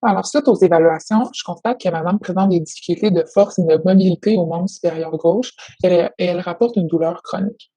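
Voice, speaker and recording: Female clinician. Mellow, slightly raspy, formal and measured, dry recording. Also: lowish voice, dry recording. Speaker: occupational therapist. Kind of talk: professional phone consultation, prepared report.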